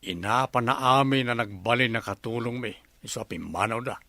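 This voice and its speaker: A man playing a gravelly jovial landowner. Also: mid-range voice, brisk, sharp tone. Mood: patient. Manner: laughing, teasing. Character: jovial male landowner, teasing and kindly. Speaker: senyor, employer of the maid. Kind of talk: radio comedy drama.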